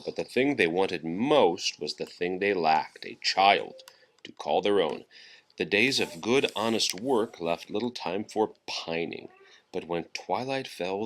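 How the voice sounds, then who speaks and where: A man's voice: low-pitched, fairly bright tone. A man reading a children's picture book aloud as a story for a young child.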